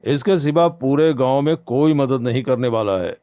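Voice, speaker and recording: A loyal, practical friend from a village cartoon tale, voiced by a man. He reasons persuasively in a mid-pitched voice, recorded dry. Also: normal speed, warm tone, no echo, lightly acted. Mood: advising. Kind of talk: Hindi animated moral story dialogue.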